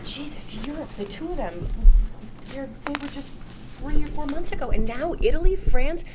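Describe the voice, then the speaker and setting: A woman playing a chatty, excitable, anxious wife in a table read of a TV drama, gushing and rambling with emphasis. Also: high-pitched, moderate pace, music in the background, poor audio. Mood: gushing, envious. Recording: room noise.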